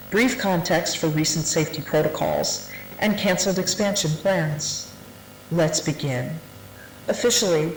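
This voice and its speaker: A formal instructor voiced by an actor briefs new personnel in an analog horror training tape. The delivery is deliberate and matter-of-fact, with a quietly ominous weight and a mid-pitched voice. It sounds like a nineties VHS recording, with clear room echo and a light layer of noise. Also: lightly acted. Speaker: narrator of a classified orientation briefing. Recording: roomy, noisy 1990s VHS-style tape sound.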